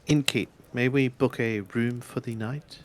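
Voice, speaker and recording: A man's voice: mid-range voice, steady tone, a little slow, a little mellow, no echo, pitched above the performer's own voice, lightly acted. A man playing a polite, formal samurai in a tabletop game, speaking courteously and with some hesitation.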